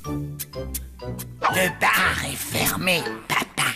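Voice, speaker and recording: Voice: medium pitch, drawn-out words, gravelly, music in the background. An actor voicing a downtrodden, anxious son in a cartoon, plaintive and hesitant in delivery. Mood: nervous resolve. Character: downtrodden, anxious son of a tyrannical vampire father. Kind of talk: French cartoon dub acting.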